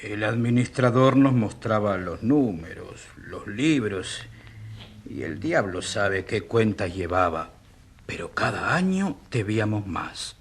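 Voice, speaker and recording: An actor playing a humble, unschooled peasant in debt, speaking simply and rustically in a radio drama. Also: male voice, lowish voice, lively intonation, slow pace, a little hiss or hum. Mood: resigned, bitter.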